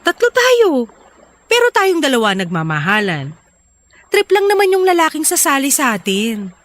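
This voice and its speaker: High, swooping Filipino wife played by an actress, pleading. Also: female voice, huge pitch swings, normal speed, a bit bright, full character voice. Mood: insistent, coaxing. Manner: pleading, insistent. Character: wife coaxing her reluctant husband, insistent and pleading. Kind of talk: Filipino radio drama acting.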